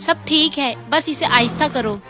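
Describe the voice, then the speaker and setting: A woman voicing a devoted, self-sacrificing young heroine in an animated fairy tale, earnest and pleading. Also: high voice, normal speed, over music, close to an everyday voice. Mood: tender.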